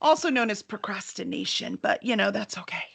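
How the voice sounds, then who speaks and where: A woman hosting a casual interview, chatty and informal, with an expressive, enthusiastic way of talking. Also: mid-range voice, fairly quick, creaky, breathy edge. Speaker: interviewer, host.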